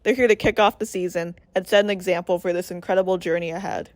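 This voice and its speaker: A woman podcast host reading a scripted welcome in an even, read-aloud manner. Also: on the low side, fast, no room sound. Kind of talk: scripted intro.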